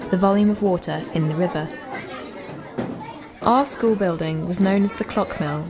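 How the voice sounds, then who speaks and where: A woman with a fairly low voice narrates an educational audio walk from a script. Music and the sound of people play behind her as she guides the listener.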